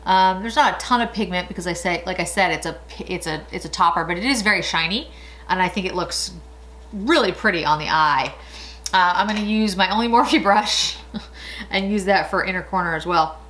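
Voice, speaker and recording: A woman's voice: on the low side, fairly quick, a bit bright. Woman in her late forties, beauty vlogger, chatty, rambling, frequent little laughs. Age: 40s. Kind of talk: casual makeup tutorial chat.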